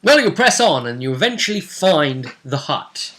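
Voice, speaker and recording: Male game master, casual and animated storyteller, slightly high pitch, bright forceful tone. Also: moderate pace. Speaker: game master recapping a tabletop role-playing session. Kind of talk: casual chat recapping a fantasy game.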